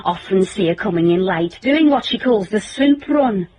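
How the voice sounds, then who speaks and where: A woman's voice: mid-range voice, expressive, moderate pace, darkish tone, husky, rough recording. An actress playing a wary, defensive landlady in a radio drama, indignant about her respectability. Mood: guarded, indignant. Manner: suspicious, indignant, guarded.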